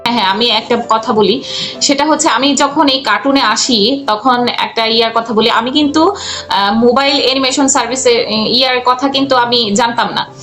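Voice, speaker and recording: Female interviewee, high register, halting conversational delivery, soft music bed underneath. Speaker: guest, freelance cartoon voice artist and animator.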